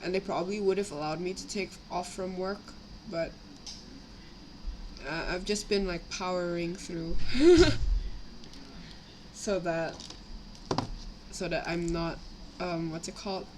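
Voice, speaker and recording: A young woman with a slightly raspy voice from a cough, rambling casually as she chats on a live stream while building models. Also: fairly low pitch, a little slow, pauses often, a little mellow, low-quality recording. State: sick with a cough, itchy throat. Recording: clear background noise, home livestream.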